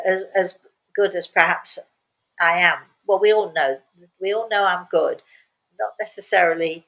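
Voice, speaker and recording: A woman's voice: mid-range voice, fairly bright tone, poor audio. An older woman speaking as a spiritual medium, telling stories casually and repeating words for emphasis. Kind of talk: casual storytelling talk.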